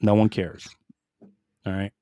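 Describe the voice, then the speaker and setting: A man co-hosting a casual gaming podcast, reading headlines aloud with quick asides and stumbling over foreign names. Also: lowish voice, little pitch movement, dark tone, clear, resonant tone, no echo. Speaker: podcast co-host reading news.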